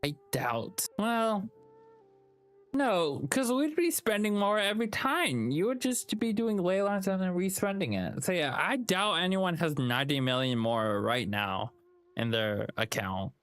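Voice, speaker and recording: A man's voice: sits high, lively intonation, measured pace, fairly bright tone, smooth, no echo, soft background music. A man streaming a game live, giving casual, rambling commentary as he thinks aloud and chats.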